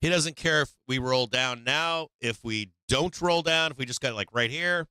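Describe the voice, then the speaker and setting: A man hosting a live stock market show, talking through charts in a loose, unscripted way. His voice is bright and smooth, and the sound is dry and close. He often stalls and restarts mid-sentence as he hunts for the right phrasing. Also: mid-range voice, no room sound. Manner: halting, searching for words, frequent restarts. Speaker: host of a stock market livestream. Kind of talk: casual live chart commentary.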